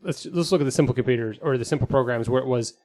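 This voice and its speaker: A man's voice: medium pitch, very fast, darkish tone. A man co-hosting a casual podcast, informal and loosely worded, restarting his explanations as he goes. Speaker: podcast co-host. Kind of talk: casual panel discussion. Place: studio.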